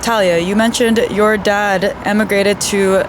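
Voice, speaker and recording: A woman's voice: mid-range voice, steady tone, measured pace. A woman from Canada, a nurse whose first language is Hebrew, chatting casually with viewers in a vlog and laughing easily. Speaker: vlogger, nurse. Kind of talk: casual chat. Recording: noisy street and car background.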